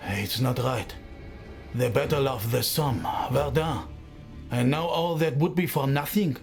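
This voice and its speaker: A man playing an indignant, bitter war veteran with a gravelly voice in a video game. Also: medium pitch, measured pace, soft background music.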